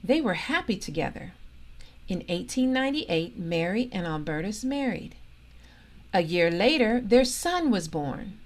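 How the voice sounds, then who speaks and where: A woman reads a children's story aloud at a slow, deliberate pace. Her pitch moves in lively swings around a middle register, and she sets off key phrases with emphatic pauses. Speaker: storybook reader, organisation president.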